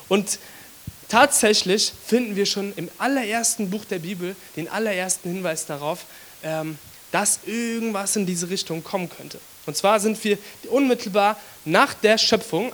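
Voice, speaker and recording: A man with a hoarse voice from a cold, giving a casual, chatty sermon to his congregation with many fillers. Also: high voice, a bit bright, slight background noise. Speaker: preacher.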